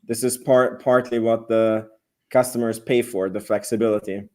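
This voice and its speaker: Male analyst guest, mid-pitched, conversational and unscripted, halting delivery with repeated words while reasoning. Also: fairly even intonation, darkish tone. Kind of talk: casual discussion.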